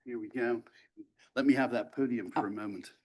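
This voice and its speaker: A man's voice: mid-range voice, a little fast, darkish tone. A man chairing an advisory board, giving formal ceremonial remarks at a podium.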